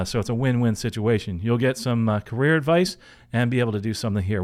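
A man hosting a podcast, giving career advice and answering listener questions in an informal, chatty, conversational way. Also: mid-range voice. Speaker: podcast host and career coach.

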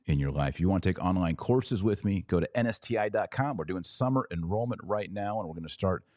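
A man hosting a podcast, giving sarcastic, animated commentary and now and then leaping to high, incredulous exclamations. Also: no echo, clean studio sound. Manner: slow deliberate Latin prayer recitation. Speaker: podcast host.

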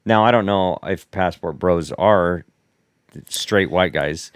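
Low-voiced man in rambling chat. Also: slow pace, smooth, dry recording, studio quality. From Seattle area, United States. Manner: rambling, self-interrupting, laughs often. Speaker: podcast co-host. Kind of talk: casual chat.

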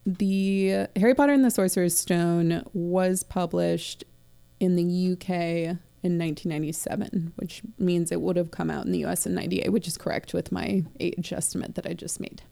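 Dark, mellow-voiced woman chatting casually. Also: lowish voice, fairly even intonation, normal speed, creaky. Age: late 20s. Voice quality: slightly crackly voice. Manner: chatty, digressive, wry. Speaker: podcast co-host. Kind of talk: casual book discussion.

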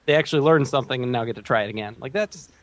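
A man's voice: mid-range voice, very fast, no echo, muffled recording. A man co-hosting a podcast, casually discussing television in a joking, mock-incredulous way. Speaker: podcast co-host.

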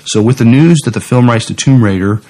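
A man co-hosting a podcast, chatting casually in a measured, somewhat tentative way and at times reading news copy. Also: medium pitch, darkish tone. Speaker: podcast co-host.

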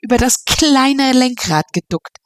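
A woman's voice: high-pitched, animated, unhurried, voice pushed up. A woman reading aloud and voicing a thin, pale, anxious young man who speaks in a pleading, melodramatic way. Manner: pleading, breathless and dramatic.

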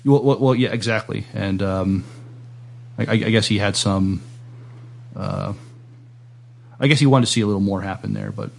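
Male podcast host, lowish gravelly voice, casual and agreeable, feeling his way haltingly through sentences. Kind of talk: casual interview chat.

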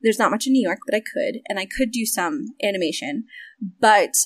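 Lively young woman, laughing chat. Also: medium pitch, breathy edge, dry recording. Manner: animated, laughs often, quick asides. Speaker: voice actor and game developer. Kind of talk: casual interview chat.

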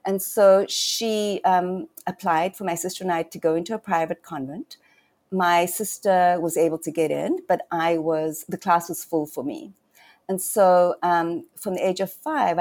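A woman's voice: on the low side, darkish tone. A middle-aged woman, a leadership coach, telling her life story in an earnest, reflective way during a podcast interview. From South Africa. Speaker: guest, leadership coach and author.

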